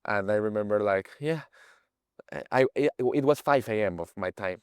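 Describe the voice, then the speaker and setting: A man in his twenties from Mexico with a Mexican Spanish accent, speaking English as a second language, halting and searching for words as an interview guest. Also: fairly low pitch, smooth, dry recording. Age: 20s. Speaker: guest, page owner. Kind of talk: casual interview chat.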